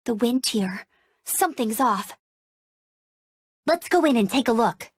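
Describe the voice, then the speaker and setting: Youthful female scout, high-pitched, earnest, candid, fantasy video game style. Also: animated, measured pace, slightly rough, no echo, studio quality. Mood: wary, explaining. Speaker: outrider scout.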